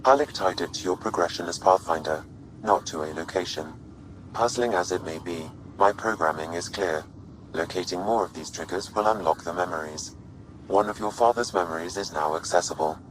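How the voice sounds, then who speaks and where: Man acting a very calm, low-voiced artificial intelligence. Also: slight background noise, close to an everyday voice, low-quality recording. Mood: measured, explanatory. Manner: measured, formal, precise. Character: calm, courteous sentient artificial intelligence aiding an explorer. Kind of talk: video game voice acting.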